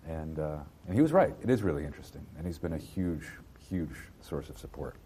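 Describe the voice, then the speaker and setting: Very deep-voiced man in his fifties. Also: expressive, dark tone, a little hiss or hum. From New Jersey, US. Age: 50s. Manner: halting, many fillers and restarts. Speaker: interviewee, antiquarian bookseller. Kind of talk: casual interview.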